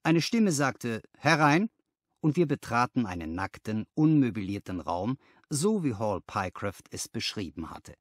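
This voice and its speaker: Male audiobook narrator. Dramatic literary read, careful phrasing, highly animated intonation, dry sound. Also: unhurried, no room sound. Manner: expressive storytelling, careful phrasing.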